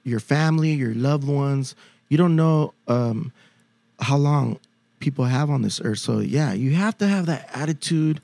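A man chats loosely as a guest musician. His speech is slangy and halting, circling back over the same phrases in an informal way. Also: mid-range voice, expressive, gravelly, dark tone, no echo. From San Diego, United States. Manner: hesitant, slangy, repetitive phrasing. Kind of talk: casual chat.

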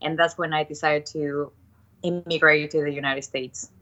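Woman from Ecuador, late twenties, podcast guest, earnest, bright forceful voice, dull lo-fi sound. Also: deep voice, fairly even intonation, moderate pace. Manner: earnest, frequent restarts and fillers. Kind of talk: casual interview conversation.

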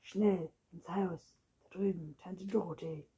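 A woman voicing a dreamy young girl in a whispered children's story, gentle and wistful. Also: fairly low pitch, flat delivery, slow. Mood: urgent.

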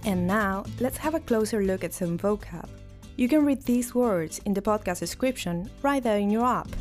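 A woman's voice: medium pitch, animated, measured pace, a little mellow. Female podcast announcer over music. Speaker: podcast host.

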